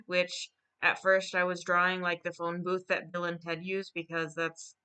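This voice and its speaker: A woman co-hosting a casual chat, retelling a story in a halting, amused way. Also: lowish voice, completely flat delivery, pushed and bright, smooth. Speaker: co-host recapping a drawn story.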